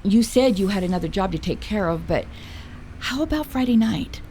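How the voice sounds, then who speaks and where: Woman playing a lively, teasing newsstand gal. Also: mid-range voice, normal speed, a little hiss or hum, natural voice. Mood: earnest, caring. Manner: teasing, flirty, expressive. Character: playful, teasing female newsstand owner, warm friend. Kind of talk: radio drama dialogue.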